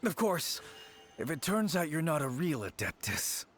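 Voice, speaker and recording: An actor playing a cocky, sly petty bandit with a cowardly streak, sarcastic and theatrical, in a video game. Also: male voice, a little high, very animated, moderate pace, a bit bright. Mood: wheedling, sarcastic. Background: game music.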